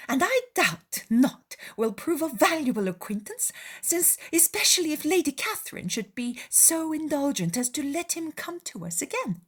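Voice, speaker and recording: A woman voicing a wry middle-aged gentleman father in an audiobook dramatization, measured and dryly ironic. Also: high voice, pitched above the performer's own voice. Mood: mock approval.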